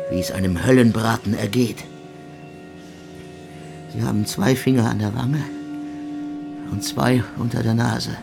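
A creaky, sardonic suffering composer. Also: male voice, mid-range voice. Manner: mocking, savouring archaic phrasing, theatrical. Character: suffering male composer, ironic, sardonic, morbidly theatrical. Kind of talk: literary radio play monologue. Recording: music behind the voice. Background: instrumental music.

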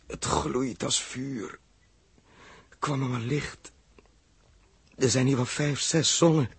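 A man playing a wounded, feverish space captain in a 1960s radio drama, halting and groaning in pain. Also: medium pitch, husky, full character voice. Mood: fearful, pained. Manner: halting, anguished.

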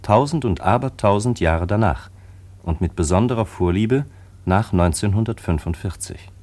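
Male actor as story commentator, radio drama, lowish pitch, sober, matter-of-fact, explanatory. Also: normal speed, a little mellow, lightly acted. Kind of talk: scripted radio play narration.

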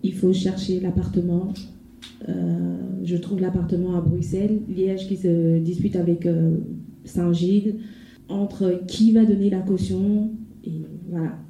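Woman in her 20s telling her story, flat low-ish pitch, echoey muffled recording w some noise. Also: measured pace. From Ivory Coast. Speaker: speaker giving personal testimony. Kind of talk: spontaneous public testimony. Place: echoey room at a public event. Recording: muffled, noisy, echoey room.

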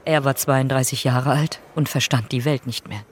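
A woman's voice: a bass voice, steady tone, normal speed. A woman delivering scripted documentary narration as the narrator of a radio drama. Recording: guitar music behind the voice.

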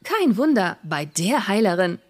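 A woman's voice: mid-range voice, very animated, measured pace, a little mellow. A woman voicing a vain female healer who is obsessed with bags, in a parody audio drama, talking in a chirpy, self-satisfied way.

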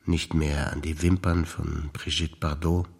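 Older man, very low voice, rhythmic incantatory listing, deliberate pauses. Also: level tone, creaky, darkish tone, breathy edge. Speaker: stage actor. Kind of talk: staged theatre recitation.

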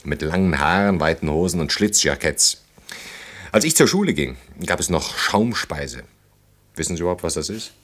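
Sardonic, deep-voiced German older man. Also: sing-song, normal speed, fairly bright tone, full character voice. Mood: nostalgic. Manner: dry, mocking, reminiscing. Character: established older man, sardonic, nostalgic, needling a young woman. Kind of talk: naturalistic German radio play dialogue.

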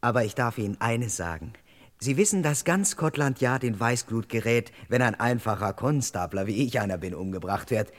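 An actor playing a dutiful London police constable in a crime radio play, speaking in a measured, formal, precise and officious way. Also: male voice, medium pitch, animated, no room sound, lightly acted. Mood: stern, formal. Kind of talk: German crime radio play, first-person narration and dialogue.

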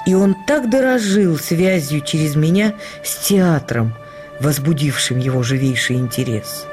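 An actress playing a reflective woman who recalls life with her late husband, reading her memoir in a measured, expressive literary way for a radio production. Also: low-pitched, music in the background. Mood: reflective, wistful.

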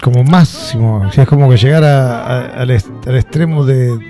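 A man's voice: mid-range voice, normal speed, dark tone, faint music behind. A man hosting a radio show, chatty and playful and teasing his guest with jokes. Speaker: radio host. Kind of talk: casual radio chat.

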